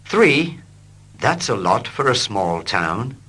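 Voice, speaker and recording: A man reading short English dialogues slowly and clearly for learners, line by line. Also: lowish voice. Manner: slow, clear and expressive. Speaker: English teacher. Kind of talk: slow scripted reading for learners.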